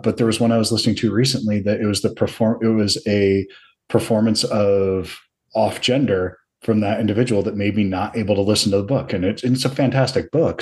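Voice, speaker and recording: A man hosting a podcast, talking in a thoughtful, measured way. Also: on the low side, darkish tone. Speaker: podcast host. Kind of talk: casual interview chat.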